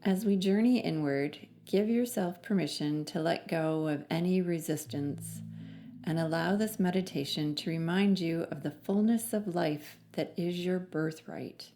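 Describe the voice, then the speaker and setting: A woman narrating a guided meditation in a soothing, measured manner with long pauses between phrases. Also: lowish voice, dark tone, soft background music.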